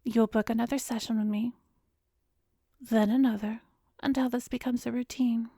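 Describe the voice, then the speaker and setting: A woman playing an arrogant, cruel, seductive vampiress hypnotist in an ASMR roleplay, savoring and commanding, with breathy speech that often drops to a whisper. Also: on the high side, steady tone, warm tone, dry recording, clean studio sound. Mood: hypnotic, commanding. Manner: slow, savoring, commanding, teasing. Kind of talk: ASMR roleplay audio drama.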